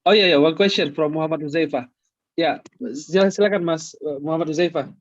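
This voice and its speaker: A man's voice: on the high side, fast talker, dry recording. A man moderating an online Q&A, friendly and switching between languages. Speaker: webinar moderator. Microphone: video call. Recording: dull lo-fi online call audio.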